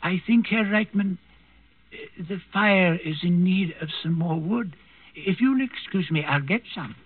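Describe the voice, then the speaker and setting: An actor playing an elderly manservant, deferential yet quietly resentful, in a 1940s radio drama. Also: male voice, a little slow, narrow, tinny audio. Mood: guarded, servile. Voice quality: high, husky. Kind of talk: 1940s radio acting with narration. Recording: thin old radio recording, music underneath.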